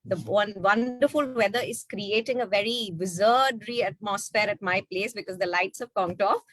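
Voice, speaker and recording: A woman telling a story to children in an expressive, questioning way. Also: medium pitch, normal speed, no room sound, poor audio. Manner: expressive, asks rhetorical questions. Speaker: storyteller at an online children's book reading.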